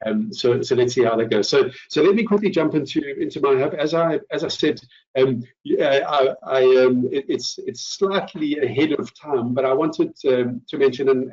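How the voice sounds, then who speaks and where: A man's voice: mid-range voice, darkish tone. Muffled, distant-sounding man hosting a webinar. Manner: hesitant, repeats words and rephrases often. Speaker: host presenter. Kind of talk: informal product update webinar. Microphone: online meeting call. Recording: muffled lo-fi online call, distant mic.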